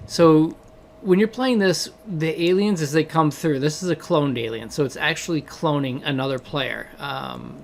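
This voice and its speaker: A man with a hoarse voice from a cold, casually walking viewers through the game he developed, rambling with many fillers. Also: fairly high pitch, moderate pace, a little mellow, a little hiss or hum.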